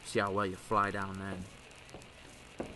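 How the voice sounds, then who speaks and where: Blustering gangster, forceful bright voice, slangy tough talk, vintage radio drama. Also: male voice, low voice, monotone, takes it slowly, slight background noise, exaggerated voice, low-quality recording. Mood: threatening. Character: brash, tough male 1920s gangster and smuggler. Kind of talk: old-time radio drama acting.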